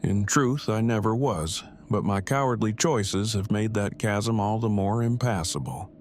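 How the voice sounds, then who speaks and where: Guy acting a nerdy heartbroken man, super formal n wordy, lowish voice, noisy audio drama recording. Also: unhurried, lightly acted. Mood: resigned, self-deprecating. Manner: stilted, formal, wordy phrasing. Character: verbose, self-deprecating, heartbroken nerdy man, stiffly formal.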